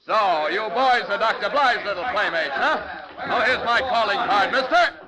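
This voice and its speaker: An actor playing a confident, brash superhero with a brisk, commanding, taunting manner in old radio style. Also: male voice, sits high, animated, poor audio. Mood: taunting, jubilant. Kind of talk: 1940s radio acting. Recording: old radio broadcast with crowd noise.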